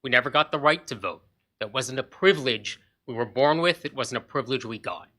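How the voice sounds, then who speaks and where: A man's voice: medium pitch, a little fast, sharp tone. A man from Zambia and Zimbabwe giving a short, earnest inspirational talk at a club meeting podium. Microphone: podium microphone.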